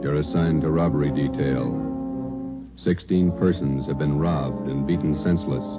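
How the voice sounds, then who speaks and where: A man giving solemn, deliberate scripted narration as the announcer of a 1950s radio crime drama. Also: extremely low pitch. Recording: muffled old radio recording, loud music.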